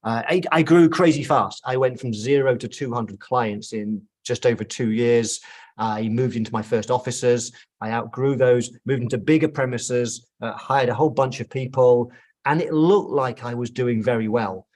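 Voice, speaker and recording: A man's voice: mid-range voice, moderate pace, darkish tone. A man in his fifties from the United Kingdom with a British accent, giving an upbeat training presentation to accountants and often repeating words as he restarts his sentences.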